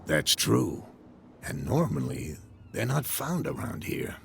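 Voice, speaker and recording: An actor playing a proud, courteous harbor captain in a video game, with a raspy, gravelly voice and stately phrasing. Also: male voice, extremely low pitch, big pitch swings, slow, a bit bright, slight background noise, exaggerated voice. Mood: assured. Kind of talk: video game cutscene dialogue.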